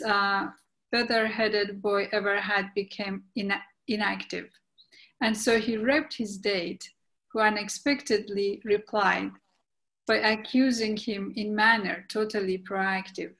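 Woman playing jolly outspoken aging mother, verse play narrator, very slow, halting, stumbles over words. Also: mid-range voice, fairly bright tone, rough recording. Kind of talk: scripted verse play reading. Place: indoors on a video call. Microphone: video call.

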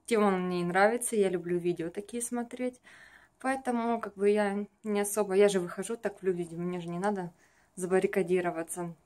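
A young woman with a medium-pitched voice chats to her camera at home. She speaks casually and confidingly, wandering through her stories as in a homemade vlog. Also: fairly even intonation, a little fast, a little mellow. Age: young adult. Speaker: vlogger, makeup artist. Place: small furnished room at home.

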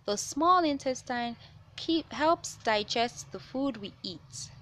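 A woman reading a children's science picture book aloud, with measured, clear phrasing for young listeners. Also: a little high, animated, a bit bright. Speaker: children's read-aloud host. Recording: noticeable background noise.

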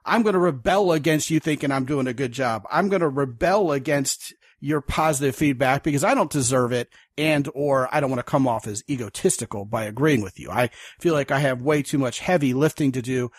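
A man hosts a podcast interview, his voice husky and breathy, recorded dry and close with no room sound. He talks in long, wordy runs and stays animated as he sets up his questions. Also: mid-range voice, brisk, hardly any pauses, no echo. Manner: wordy, animated, drifts into acting out quotes. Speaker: podcast host. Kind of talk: conversational interview.

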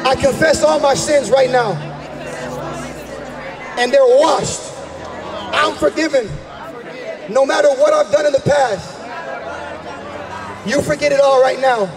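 High-pitched fervent male revival preacher. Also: far from the mic. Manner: forceful, exhorting, repetitive calls. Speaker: street preacher. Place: large busy indoor shopping mall. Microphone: handheld microphone. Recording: handheld mic in loud crowded public hall. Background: loud crowd.

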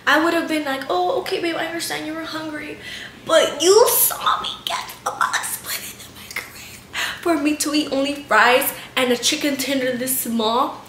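A woman's voice: sits high, fairly even intonation, moderate pace, a bit bright, reverberant, a little hiss or hum. A young woman in her twenties chatting casually to her camera at home, venting and swearing a lot. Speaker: lifestyle vlogger. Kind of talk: casual storytime vlog. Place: bright living room and kitchen at home.

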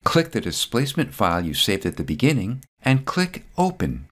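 A man reading a scripted step-by-step software tutorial voice-over, calm and methodical. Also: medium pitch, normal speed.

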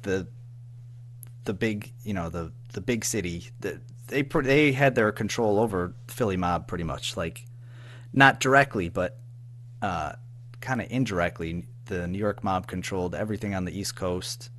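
A man's voice: deep voice, fairly bright tone, a little hiss or hum. An American man giving a casual interview as a guest, speaking in an informal, hesitant, rambling way. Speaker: interview guest, audio drama writer and producer.

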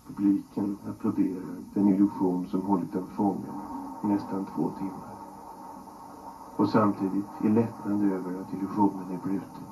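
Guy narrating something reflective, measured, gravelly, noisy dull recording. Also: sits low, flat delivery. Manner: measured, literary phrasing. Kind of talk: scripted reflective documentary narration.

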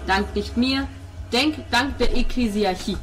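Woman playing an effusive, formal, pious gentleman, pitched up. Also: a little slow, music in the background, natural voice, poor audio. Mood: solemn, gracious. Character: effusive, grateful, pious gentleman handing out gifts.

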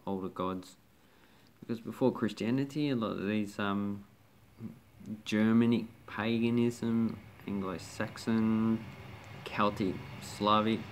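Rambling man, lowish voice. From Australia. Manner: rambling, hesitant, many fillers and restarts. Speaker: coin and banknote collector. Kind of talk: casual show-and-tell commentary.

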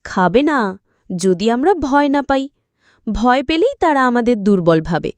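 An earnest, brave cartoon cat, voiced by a woman, speaking slowly with lively pitch. It sounds curious and encouraging, like a wise young learner in a children's story. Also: dry recording. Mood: reassuring.

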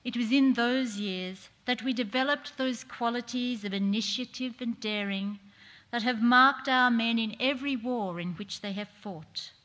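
A middle-aged woman performing a dignified 1940s woman politician's speech to parliament, in measured, declamatory theatrical oratory. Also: fairly high pitch, a bit bright. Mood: earnest, stirring. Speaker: performer reading a historic speech on stage. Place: large theatre stage, indoors. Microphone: stage microphone on a stand. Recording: echoey hall with long reverb.

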